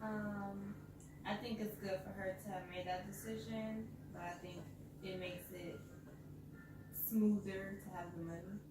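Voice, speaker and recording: A woman co-host sharing etiquette tips in a relaxed chat, speaking in a measured, hesitant way full of ums. Her voice bounces around a very echoey room, far from the mic. A clear hiss sits behind her. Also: medium pitch. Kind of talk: casual group chat.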